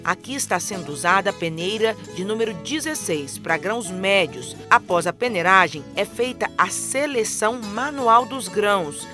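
A woman reading a scripted instructional voice-over in a clear, even manner. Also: medium pitch, normal speed, hardly any pauses. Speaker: narrator. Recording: music under the voice.

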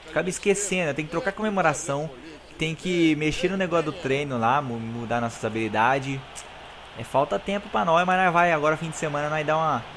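A young Brazilian man, hoarse from recording, giving excited, slangy commentary over his own football video game match and talking straight to his viewers. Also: a little high. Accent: Brazilian Portuguese. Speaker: gaming YouTuber. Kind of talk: live gameplay commentary.

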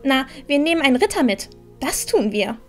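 A woman's voice: high-pitched, big pitch swings, moderate pace, faint music behind. A woman playing a bold, determined young heroine in a fantasy audio drama, impatient to help. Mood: indignant, resolute.

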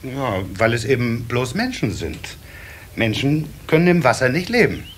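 Man acting lively, patient water-sprite father. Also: medium pitch, moderate pace, close to an everyday voice. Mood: cautious, explaining. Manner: hesitant, explaining with small stammers. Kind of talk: children's radio play acting. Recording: slightly hissy old cassette recording.

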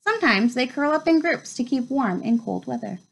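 A woman in her thirties with a fairly high voice reads a children's nature book aloud. Her phrasing is measured, as for storytime. She is indoors in a room at home, picked up by a device at about arm's length. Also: expressive, vocal fry, a bit bright, slightly rough. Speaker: read-aloud presenter.

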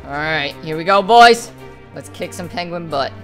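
A man's voice: a little high, animated, normal speed, a bit bright. A man plays a kraken villain in a Minecraft roleplay, a commanding but informal father. He orders his minions about and fiercely guards his child, with faint music and game gunfire behind him. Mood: commanding, protective.

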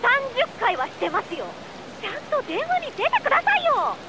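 A woman's voice: extremely high pitch, huge pitch swings, brisk, noisy background, full character voice. An actress playing a bossy, exasperated young secretary in a Japanese video game, scolding as she talks. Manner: scolding, nagging.

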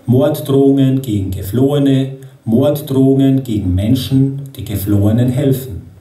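Grave slow male narrator, dark and distant. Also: medium pitch. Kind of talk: solemn scripted narration over a projected film. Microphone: audience camera far from the stage. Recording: echoey hall from far off.

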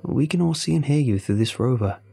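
A man's voice: medium pitch, lively intonation, fairly quick, gravelly, dark tone, breathy edge, soft background music, pitched above the performer's own voice. A man voicing an earnest human operator on a space mission in a narrated story, speaking in an eager, apologetic, slightly stammering manner.

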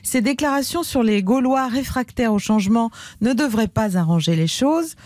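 A woman's voice: fairly high pitch, lively intonation, normal speed, a little mellow, no room sound, studio quality. A woman radio presenter delivering a scripted introduction to a comedy sketch.

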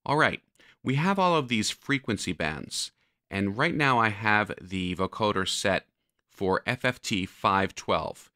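A man narrating a software tutorial, explaining step by step like an instructor. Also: lowish voice, lively intonation, measured pace, dry recording, clean studio sound.

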